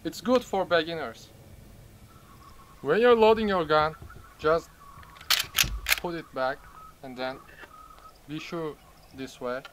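A young man from Turkey with a Turkish accent, speaking halting English outdoors and laughing his way through retakes of a gun-safety demo. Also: on the high side, background noise. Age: young adult. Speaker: first-time shooter presenting a gun. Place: outdoors in a gravel field by a truck. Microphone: camera mic from a few meters.

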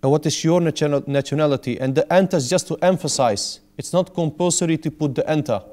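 A man's voice: fairly high pitch, quick pace, a little mellow. A man in his thirties teaching a language class in a small classroom, patiently repeating and explaining each word. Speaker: Arabic teacher. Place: small indoor classroom with whiteboard.

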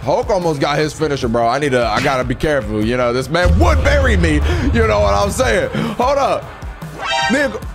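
Young male gaming host, high and very animated, excitable and trash-talking, voice going hoarse, headset mic, game music under him. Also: brisk. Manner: excitable trash talk, reads messages aloud in mocking voices. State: losing his voice. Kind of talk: live gameplay commentary. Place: home streaming room. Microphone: headset with studio mic.

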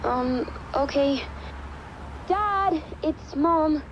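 High-pitched defensive girl. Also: drawn-out words. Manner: defensive, dismissive. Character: defensive, breezy young daughter. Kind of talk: video game drama acting. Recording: dull, noisy sound.

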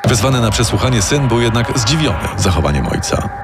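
A man's voice: medium pitch, very animated. Dramatic male true-crime narrator over music. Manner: dramatic, measured.

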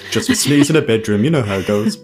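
A man's voice: fairly low pitch, animated, quick pace, soft background music. A man with a New Zealand accent speaking casually in and out of character as a tabletop game player.

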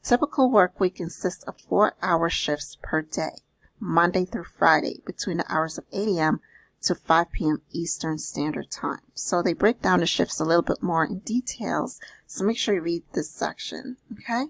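A woman doing an explanatory voice-over, reading out and paraphrasing listings in a deliberate, instructional manner with small check-ins. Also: on the low side, gravelly, no room sound, low-quality recording. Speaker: host of a job-leads channel.

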